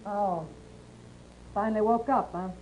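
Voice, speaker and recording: An actress playing an outlaw's wary, weary wife in a 1950s radio western, blunt and plain-spoken with a rural American accent. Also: female voice, medium pitch, unhurried, a little mellow, slight background noise, lightly acted. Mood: suspicious. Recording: muffled, band-limited 1950s radio recording.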